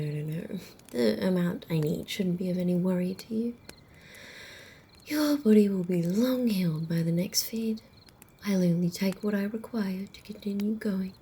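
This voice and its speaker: A woman playing a haughty, sardonic female vampire in an ASMR roleplay, speaking softly and slowly, often slipping into a whisper, with a condescending, teasing drawl. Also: mid-range voice, vocal fry, breathy edge, heavily acted. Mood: reassuring. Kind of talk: ASMR roleplay acting, close and intimate.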